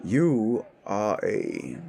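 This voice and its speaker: A man's voice: lowish voice, huge pitch swings, vocal fry, dark tone, some chatter behind, full character voice. A man playing a large, condescending werewolf who talks in a dry, teasing, self-assured way in an audio drama. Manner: slow, deliberate, teasing. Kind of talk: scripted audio drama dialogue.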